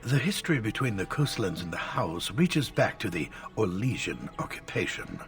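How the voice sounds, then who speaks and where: An actor playing an elderly scholarly tutor in a video game, lecturing formally and at length, stern and easily exasperated. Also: male voice, lowish voice, big pitch swings, normal speed, recorded from a distance, soft background music.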